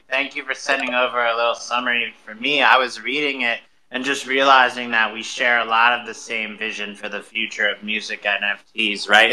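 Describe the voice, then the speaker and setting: A man with a very bright, forward voice hosts a live audio show. He talks in a chatty, enthusiastic way, welcoming and upbeat in his delivery. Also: mid-range voice, low-quality recording. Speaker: host of an online audio show. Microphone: live social audio room call.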